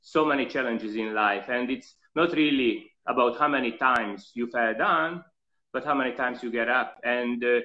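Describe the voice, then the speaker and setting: A man who is not a native English speaker, with a slight European accent, telling his life story earnestly in an interview and pausing often on fillers. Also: mid-range voice, dull audio. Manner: earnest, reflective, halting with fillers. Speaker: guest.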